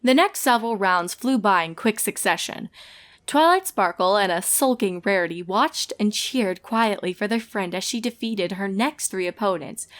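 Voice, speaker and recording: A woman reading a fan fiction story aloud as narrator, in a scripted storytelling style. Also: medium pitch.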